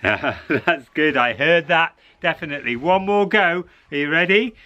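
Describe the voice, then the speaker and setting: A man telling a story to children in an expressive, encouraging way, repeating phrases for dramatic suspense. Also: fairly high pitch, measured pace, dull audio.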